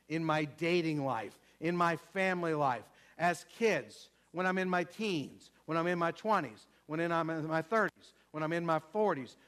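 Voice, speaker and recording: A man's voice: a little high, a bit bright. A man preaching a sermon emphatically, repeating phrases and putting questions to the congregation.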